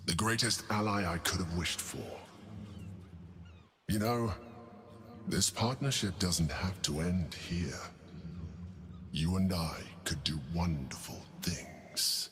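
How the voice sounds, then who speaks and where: An actor playing a persuasive, grandiose mind flayer in a fantasy game, speaking in a measured, condescending, coaxing way. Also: male voice, low voice, fairly bright tone. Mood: coaxing, admiring. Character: smooth-talking male mind flayer schemer, grandiose and persuasive. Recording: long cavernous reverb, faint background noise.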